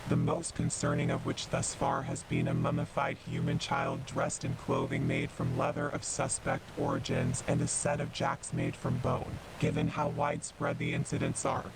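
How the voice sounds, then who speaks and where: A man playing a grave emergency broadcast announcer, reading formally in a gravelly, ominous way with a measured delivery. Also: a bass voice, noisy background, full character voice. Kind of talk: scripted emergency broadcast narration.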